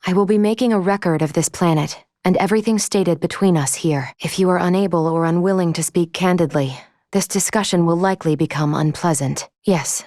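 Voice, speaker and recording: A woman plays a logical female android crew member from a video game. Her voice sits a little low, with formal, precise phrasing and a composed, matter-of-fact manner. The sound is close and dry, with no room around it. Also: darkish tone, no room sound, natural voice. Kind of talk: video game character voice acting.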